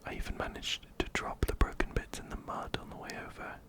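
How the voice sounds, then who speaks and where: A man voicing a clumsy, worried mercenary blacksmith from a game in a breathy, sheepish whisper, close to the microphone. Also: fast, natural voice. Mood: sheepish, pleading. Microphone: close-up binaural ear-to-ear mic.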